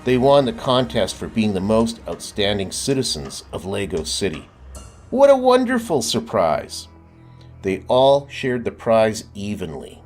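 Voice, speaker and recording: A man reading a children's storybook aloud in a lively way, often stopping to ask the listener questions. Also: fairly low pitch, measured pace, faint music behind. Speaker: storybook reader. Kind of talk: children's book read-aloud.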